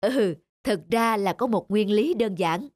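A woman voicing an older, experienced musher who gives instructive advice in an animated cartoon. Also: mid-range voice, unhurried, dry recording, studio quality, close to an everyday voice. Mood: knowing, instructive. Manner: instructive, explanatory. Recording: faint music under the dialogue.

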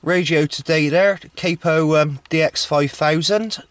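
Hesitant man with a slightly higher voice. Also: a bit bright, no echo. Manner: hesitant, pausing, matter-of-fact. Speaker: radio hobbyist filming himself. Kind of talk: casual outdoor vlog narration. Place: outdoors on an open hilltop. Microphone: handheld camcorder, operator behind camera.

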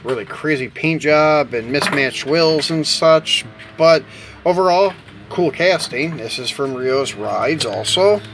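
A man's voice: on the high side, takes it slowly, music in the background. A middle-aged American man giving casual, informal unboxing commentary with rambling asides. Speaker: toy car collector hosting an unboxing. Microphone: handheld camera or phone mic. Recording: home camera with clear background noise.

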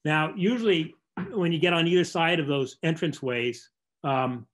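An older man giving an informal slideshow talk over a video call, explaining in a halting way and often repeating words. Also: on the high side, fairly bright tone. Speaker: barn restoration contractor giving a lecture. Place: indoor room, on a video call.

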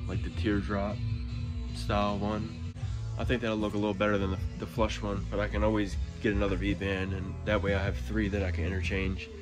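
Casual male vlogger, twenties, low voice with flat delivery, laid-back car-build narration on a handheld phone. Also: a little fast, over music. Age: 20s. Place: home garage workshop, indoors. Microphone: handheld phone at arm's length.

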